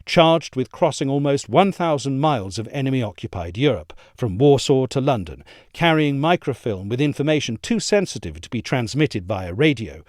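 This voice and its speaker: A man giving a measured, articulate scripted narration of a history essay. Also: medium pitch, a little mellow, no room sound, studio quality. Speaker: essay reader.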